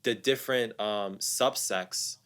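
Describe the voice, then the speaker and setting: A man's voice: lowish voice, takes it slowly, fairly bright tone. A young man from the United States, a guest musician talking casually and reflectively in an interview, often repeating words as he thinks.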